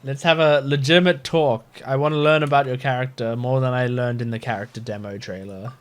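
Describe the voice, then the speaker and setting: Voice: medium pitch, fairly even intonation, brisk. A gamer giving casual, reflective gameplay commentary and thinking aloud. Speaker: gamer reacting on a let's play channel.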